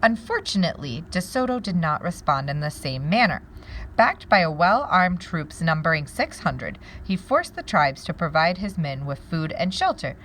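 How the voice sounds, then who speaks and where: A woman teacher doing a read-aloud lesson, pausing to wonder aloud and explain words to her pupils. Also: on the low side, sing-song, a bit bright, a little hiss or hum, rough recording.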